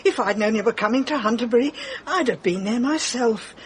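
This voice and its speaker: A woman's voice: on the high side, a little fast, natural voice. A woman playing an effusive, deferential and inquisitive housekeeper in a radio mystery drama. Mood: effusive, prying. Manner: fussing, eager to help.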